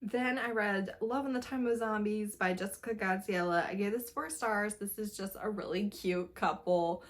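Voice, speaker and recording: A woman talks through books in a casual, chatty review style. Her voice sits at an average pitch for a woman. She is informal and emphatic, restating phrases to underline her opinion. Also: moderate pace, few pauses. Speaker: book reviewer vlogger.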